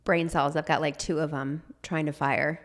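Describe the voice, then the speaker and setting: A woman in her thirties co-hosting a podcast, slightly hoarse with a froggy throat, chatting casually with frequent laughs and lots of "like". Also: low-pitched, level tone, moderate pace. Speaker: podcast co-host. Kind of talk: casual chat.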